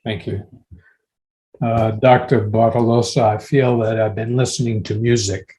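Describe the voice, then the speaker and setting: A man's voice: on the low side, fairly even intonation, unhurried, warm tone. A man speaking as an audience member, putting a thoughtful question at an academic discussion.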